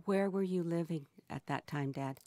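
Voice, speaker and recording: Woman in her 60s, low pitch, gentle measured questions, dry close sound. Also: dry recording. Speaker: interviewer. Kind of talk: oral history interview.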